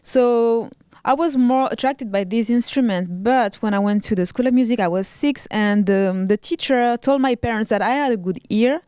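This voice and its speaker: Smooth-voiced French-accented woman. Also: on the high side, normal speed, no echo, studio quality. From Reunion Island, raised in Paris. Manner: soft-spoken, many ums and restarts. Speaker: guest violinist. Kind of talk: casual interview chat.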